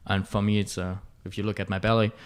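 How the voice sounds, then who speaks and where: A man's voice: fairly low pitch, level tone, quick pace, clear, resonant tone. A young man from Germany, chatting on a podcast in a hesitant way, with many fillers. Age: young adult. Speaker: student club director, podcast guest. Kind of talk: casual podcast chat.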